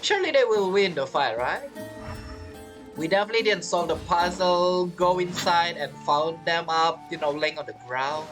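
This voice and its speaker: A woman's voice: fairly low pitch, expressive, moderate pace, faint music behind. A woman giving casual, rambling game commentary, talking half to herself and half to viewers. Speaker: gameplay streamer.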